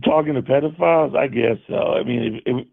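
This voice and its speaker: Creaky, combative man on a thin call line. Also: mid-range voice, a little fast, no room sound, narrow, tinny audio. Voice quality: soft voice. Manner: combative, sarcastic, talks over others. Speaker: live show caller. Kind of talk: heated argument on a call-in show. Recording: thin, band-limited call audio.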